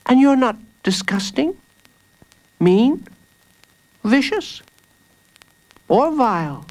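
A man's voice: very high voice, sing-song, extremely slow, darkish tone, pitched above the performer's own voice, exaggerated voice, poor audio. A man voicing a small, stubborn, bold young boy in an earnest storybook reading. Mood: accusing. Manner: earnest, defiant, repeats himself.

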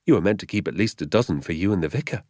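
A man's voice: deep voice, lively intonation, racing, darkish tone, no echo, clean studio sound. A man voicing a polite, curious village father with a British accent. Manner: polite, questioning.

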